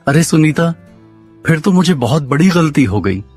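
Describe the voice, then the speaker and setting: A man's voice: a little high, animated, moderate pace, darkish tone, husky, soft background music. A man voicing a lazy, naive village husband in a cartoon story, talking in dialogue. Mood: regretful.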